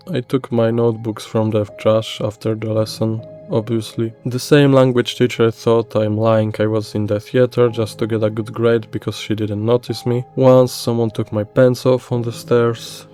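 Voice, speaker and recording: Soft-spoken Polish-accented man, flat and low. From Poland. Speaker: narrator. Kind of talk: personal storytelling voice-over. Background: faint soft music.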